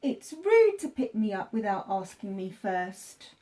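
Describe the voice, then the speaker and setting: A woman's voice: medium pitch, big pitch swings, a little slow, warm tone, a little hiss or hum. A woman voicing an indignant, distressed pet cat in a children's story.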